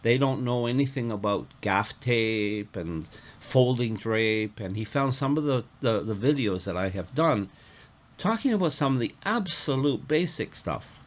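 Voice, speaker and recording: A man, telling a story conversationally to viewers in a deliberate, explanatory way with emphatic repetition. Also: medium pitch, expressive. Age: 60s. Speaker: YouTube host, AV technician.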